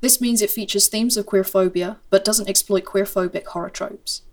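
Calm woman reading a show intro. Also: mid-range voice, normal speed. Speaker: podcast intro reader.

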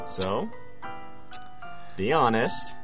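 A man plays a blunt bartender in an audio play, casual and teasing. His mid-range voice swings widely in pitch. Music plays clearly behind him. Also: big pitch swings, extremely slow, fairly bright tone, exaggerated voice. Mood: exasperated. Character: blunt, teasing male bartender giving friendly advice. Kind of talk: audio drama dialogue.

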